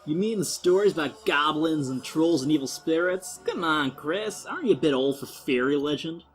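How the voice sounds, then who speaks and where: A man's voice: fairly high pitch, animated, normal speed, faint music behind, natural voice. A man voicing a cocky, teasing fairy boy in a dramatic storybook reading, with a brash, mocking manner. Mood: teasing, dismissive.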